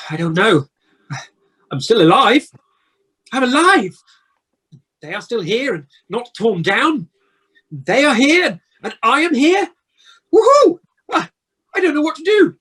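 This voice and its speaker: An actor playing an emotional, repentant old male miser in an amateur radio play, with theatrical, halting exclamations. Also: high voice, a bit bright, slightly rough, heavily acted. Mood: bewildered, then giddy joy. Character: repentant old male miser, desperate then giddily joyful. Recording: home video-call audio.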